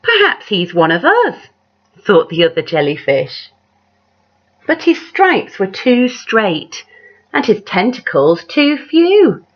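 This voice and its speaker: A woman reading a children's picture book aloud like a teacher, dramatic and measured, putting questions to her young listeners. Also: mid-range voice, huge pitch swings. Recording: dull, lo-fi sound.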